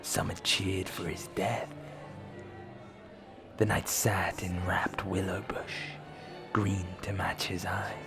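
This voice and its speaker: Low-voiced, slow male storyteller. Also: flat delivery, creaky, music in the background. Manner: measured, storytelling. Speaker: narrator. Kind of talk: scripted fantasy audio drama narration.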